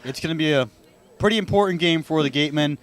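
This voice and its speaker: A man's voice: on the high side, quick pace. A man hosting a sports radio broadcast, doing pregame talk and play-by-play commentary.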